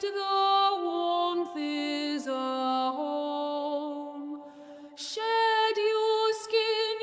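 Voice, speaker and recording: A woman performing an eerie, cultish lullaby figure in an audio drama, intoning slowly and soothingly. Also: extremely high pitch, echoey room, heavily acted.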